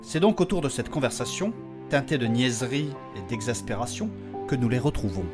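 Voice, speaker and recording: A man's voice: medium pitch, animated, a little fast. A man narrating a scripted recap of a fantasy audio drama in a dramatic storytelling style. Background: plucked guitar music.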